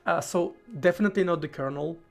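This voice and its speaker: A man with a slightly high, gravelly voice talks casually on a live stream as he codes. He thinks aloud, stopping and restarting his sentences and leaning on fillers. Soft music plays underneath. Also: quick pace. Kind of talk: casual live-stream talk while coding.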